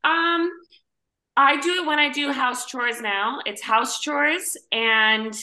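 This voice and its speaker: A woman's voice: sits high, slow pace, bright, forceful tone, poor audio. A young adult woman talking informally as a podcast guest, with "like" peppered through her casual chat. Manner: informal, uses "like" heavily.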